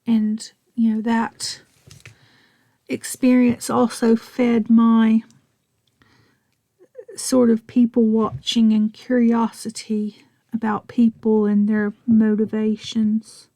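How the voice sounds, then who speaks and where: Slow-talking Southern woman, gravelly and dark. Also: fairly high pitch, completely flat delivery, dry recording. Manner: rambling, self-deprecating, often half-whispered while crafting. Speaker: crafting vlogger. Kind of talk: chatty craft tutorial narration.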